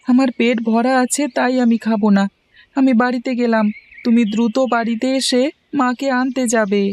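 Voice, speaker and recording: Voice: mid-range voice, level tone, normal speed, a little mellow, lightly acted. A voice actor playing a young son who worries about his quarreling parents in a cartoon. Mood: worried.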